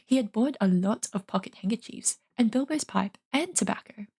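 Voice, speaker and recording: A woman narrates a fantasy storybook in an informal read-aloud style. Her voice is husky and breathy, with plenty of vocal fry. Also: medium pitch, animated, normal speed, darkish tone. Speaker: audiobook reader, streamer.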